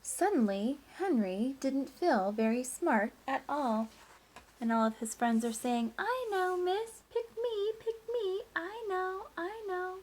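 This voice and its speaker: Higher-pitched woman reading a storybook aloud. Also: slight background noise, poor audio. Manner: expressive, storytelling emphasis with drawn-out words and hushed asides. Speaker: teacher reading aloud to pupils. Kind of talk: picture-book read-aloud for children.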